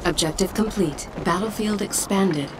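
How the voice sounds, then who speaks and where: A woman's voice: mid-range voice, normal speed, over music, natural voice. An actress playing a cool, formal female military computer announcer in a strategy game.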